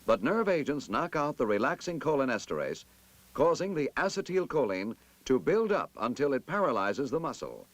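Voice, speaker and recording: A man narrating a scripted 1960s military instructional film in a formal, emphatic, measured manner. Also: medium pitch, sing-song, dry recording.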